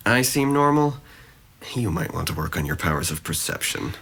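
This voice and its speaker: A man's voice: low-pitched, very animated, moderate pace, vocal fry, fairly bright tone, breathy edge, heavily acted. A man acting a guarded, gloomy young man in an audio drama, with a dry, sarcastic, wordy delivery. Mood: wry, guarded. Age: young adult.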